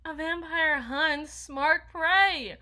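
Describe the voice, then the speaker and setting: A woman's voice: sits high, very slow, bright, forceful tone, full character voice. A woman playing a flustered, needy, socially awkward young vampire in an intimate ASMR roleplay, stammering and slipping into whispers. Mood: musing, coaxing. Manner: stammering, breathy asides, dropping into whispers.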